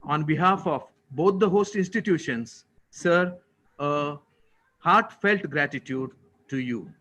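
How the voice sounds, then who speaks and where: Formal Indian man, higher-pitched voice. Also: expressive, bright, forceful tone. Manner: deliberate, courteous, ceremonious phrasing. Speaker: college registrar giving vote of thanks. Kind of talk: formal prepared thank-you speech.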